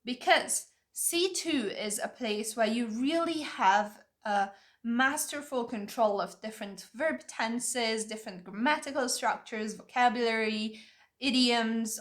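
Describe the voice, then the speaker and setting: A young woman whose first languages are Vietnamese and Hungarian, talking to camera in an earnest, opinionated way as she explains step by step. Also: a little high. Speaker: language-learning video creator. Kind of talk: talking-head advice video.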